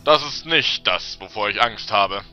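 A man playing a thoughtful, caring expedition leader, earnest and deliberate, in a fantasy audio drama. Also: lowish voice, expressive, bright, forceful tone, exaggerated voice. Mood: concerned, earnest. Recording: dull lo-fi sound, music underneath.

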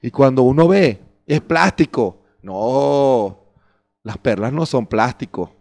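A middle-aged man preaches slowly and deliberately into a handheld microphone on a church stage. He keeps turning to the congregation with rhetorical questions and briefly acts out the excuses people give. His voice sits in an ordinary middle pitch. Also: darkish tone. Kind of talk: sermon. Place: church stage before a curtain. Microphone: handheld mic.

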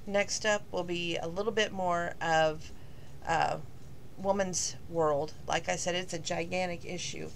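A middle-aged woman, slightly hoarse from losing her voice, rambling casually in a talking-to-camera vlog with lots of fillers. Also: on the low side, fairly even intonation, a little slow, slight background noise. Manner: rambling, chatty, with many fillers. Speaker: vlogger.

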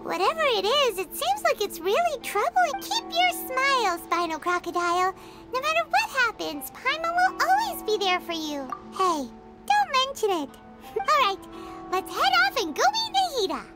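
Squeaky childlike fairy companion. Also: female voice, big pitch swings, moderate pace, loud music behind the voice. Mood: caring. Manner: refers to self in third person, bubbly. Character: small perky childlike fairy companion, loyal and chatty. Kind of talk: video game character acting.

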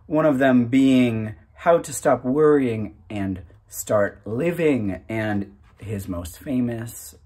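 A man in his thirties hosting his own channel, talking casually and a little self-consciously in a halting, informal way. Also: medium pitch, darkish tone. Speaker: host of a reading channel. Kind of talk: casual, unscripted chat to camera.

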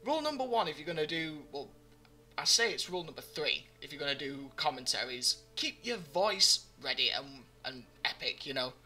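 A man's voice: on the high side, very animated, moderate pace, sharp tone, faint music behind. A young man giving live gameplay commentary, chatty and joking, his voice slightly groggy. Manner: chatty, joking, talks to himself while playing. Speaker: gaming commentator.

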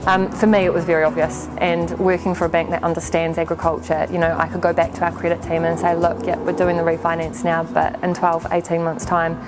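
A British woman in her thirties speaks in an interview testimonial. Her voice sits a little low, and music plays clearly behind her. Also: completely flat delivery, brisk, few pauses, low-quality recording. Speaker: agricultural bank relationship manager. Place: indoor milking parlour. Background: background music.

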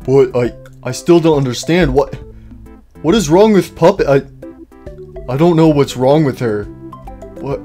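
A man's voice: on the high side, unhurried, pauses often, dark tone, soft background music, lightly acted. A man voicing a naive, defensive animatronic bear in a comedic cartoon roleplay, stammering and pleading. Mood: confused. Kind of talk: improvised comedic character roleplay.

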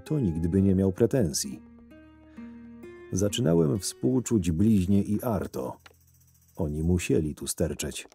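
A low, mellow-voiced convict guard acted by a man tells his story in an audio drama. He is curt, gruff and sardonic, quick to irritation, with music playing behind him. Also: exaggerated voice. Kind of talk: first-person audio drama narration with dialogue.

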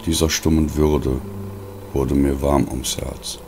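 A man reading a scripted diary story as an audiobook narrator, in a measured, deliberate way with pauses between phrases. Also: a bass voice, little pitch movement, creaky, background noise.

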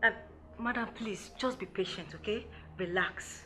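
Bright-voiced Nigerian madam. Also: female voice, medium pitch, unhurried. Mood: placating. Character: businesswoman recruiter, placating madam, firm and controlled. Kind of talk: film drama acting. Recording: music underneath.

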